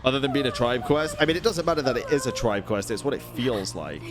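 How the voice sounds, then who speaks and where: A man's voice: mid-range voice, fast talker. Blunt, slangy male streamer over music. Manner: blunt, frequent swearing, slangy. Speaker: streamer ranking game quests. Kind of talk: casual opinionated live stream commentary. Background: video game music.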